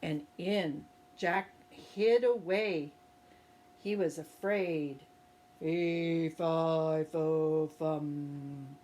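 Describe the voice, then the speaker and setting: A woman voicing a big booming male giant in a children's storybook reading, chanting slowly. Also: steady tone, mellow. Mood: menacing.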